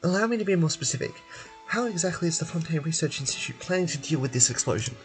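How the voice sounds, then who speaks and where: A man voicing a sharp, persistent female reporter from a video game, asking formal, pointed questions. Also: a little high, animated, quick pace, faint music behind, lightly acted. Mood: pressing.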